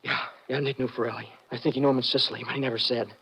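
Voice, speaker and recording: An actor playing a frightened, exhausted escaped convict in a 1950s radio drama, halting and pleading in a husky voice. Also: male voice, medium pitch, level tone, a bit bright, poor audio. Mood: weary, defensive.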